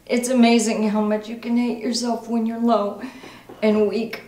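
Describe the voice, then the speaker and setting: A woman performing a dramatic monologue as a guilt-ridden recovering alcoholic mother, halting, sombre and confessional. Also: a little high, level tone, mellow, pitched above the performer's own voice, close to an everyday voice. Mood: anguished, remorseful. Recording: roomy echo, faint noise.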